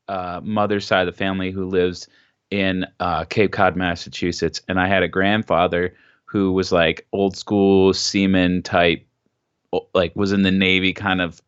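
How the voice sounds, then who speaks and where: A man's voice: sits low, a bit bright, clear, resonant tone, dull audio. A man from Pennsylvania, a podcast guest chatting casually and swearing freely. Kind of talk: casual chat.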